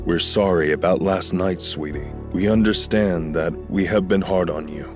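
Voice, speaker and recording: A man's voice: on the low side, sing-song, measured pace, music in the background. A man playing a stern but protective father of a teenage girl in an acted story. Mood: apologetic.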